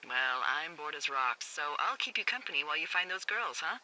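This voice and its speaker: An actress voicing a wry, teasing American fire lookout supervisor in a video game, with a dry, playful and sarcastic manner. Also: female voice, low-pitched, animated, a little fast, sharp tone, a little hiss or hum, heavily acted, rough recording. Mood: casual, playful. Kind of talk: naturalistic video game dialogue. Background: background music.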